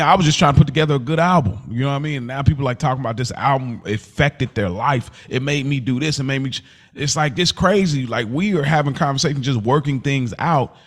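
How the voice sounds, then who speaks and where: A man's voice: mid-range voice, a bit bright. A man talking casually as a podcast co-host, slangy and emphatic, often repeating phrases to drive his point. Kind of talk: casual conversational podcast chat.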